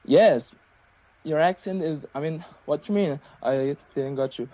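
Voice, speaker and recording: Man, Persian native, practising English on a call, halting, fillers, slightly high, warm dull sound. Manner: halting, many fillers and restarts. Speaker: English learner. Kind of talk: conversation practice on a video call. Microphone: video call. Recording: dull lo-fi video call audio.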